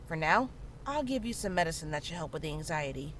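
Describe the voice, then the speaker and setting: A woman playing a calm, frank town healer in a horror visual novel, speaking in a measured, reassuring way. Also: deep voice, rough recording. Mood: reassuring, practical. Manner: measured, matter-of-fact, reassuring. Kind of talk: visual novel character dialogue. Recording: clearly audible background noise, ambience.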